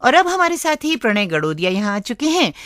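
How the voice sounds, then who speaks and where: A woman with an Indian accent presenting a scripted radio show, addressing listeners in a deliberate way as friends. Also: a little high, very animated, fairly bright tone, no echo, studio quality. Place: studio.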